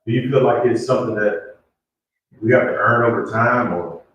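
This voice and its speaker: A man's voice: medium pitch, moderate pace, fairly bright tone, highs cut off. Man moderating in a very echoey room. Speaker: panel moderator. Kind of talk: live panel questioning. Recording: echoey room, distant mic, background noise.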